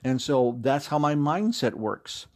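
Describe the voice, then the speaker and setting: A man answers interview questions in a casual, conversational way. He talks expressively and rambles like a joking storyteller, at an ordinary middle pitch. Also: expressive, measured pace, darkish tone. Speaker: interview guest, audio drama writer and actor.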